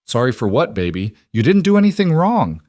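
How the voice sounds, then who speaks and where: A man reading an audiobook voices a gentle, earnest woman full of guilt, comforting a child. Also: medium pitch, sing-song, fairly quick, dry recording, studio quality, voice pushed up, natural voice. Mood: soothing.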